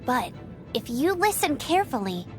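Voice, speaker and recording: A woman's voice: high-pitched, sing-song, normal speed, faint music behind. A woman voicing an earnest, plucky young female knight scout in an anime-style video game. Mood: determined. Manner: earnest, spirited, emphatic.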